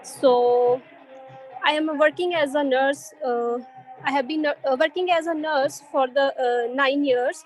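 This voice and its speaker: Slow, high-voiced Indian nurse. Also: female voice, fairly even intonation, a little hiss or hum, rough recording. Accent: Indian English. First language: Punjabi. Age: 30s. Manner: hesitant, many fillers. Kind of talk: practice interview answers.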